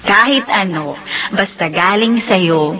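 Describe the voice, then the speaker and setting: A woman's voice: mid-range voice, slow pace, pushed and bright. A woman playing a smitten young girlfriend, tender and affectionate, in a radio drama. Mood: tender, delighted. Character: smitten young woman sweet-talking her boyfriend. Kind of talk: Tagalog radio drama acting.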